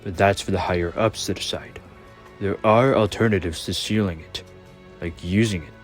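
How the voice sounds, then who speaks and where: A man voicing a laid-back, cautious adult ally in an anime fan dub, talking in a measured, reasoning way with a slightly wry edge. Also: low voice, faint music behind, natural voice.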